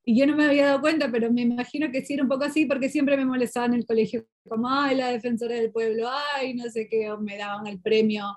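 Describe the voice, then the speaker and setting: A woman's voice: on the high side, quick pace, hardly any pauses. Chatty woman telling stories. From Peru. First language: Portuguese. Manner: lively, animated, many fillers and restarts. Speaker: interview guest. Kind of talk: casual conversational storytelling.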